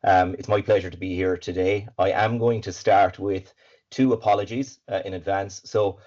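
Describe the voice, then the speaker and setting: An Irish man with a raspy voice, slightly congested from hay fever, giving an upbeat webinar talk as guest speaker. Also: low voice, fairly even intonation, normal speed, a little mellow. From Ireland. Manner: upbeat, fluent, with filler words and repeats. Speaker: guest speaker, supply chain consultant. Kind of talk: webinar presentation. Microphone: video call. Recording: dull lo-fi video call audio.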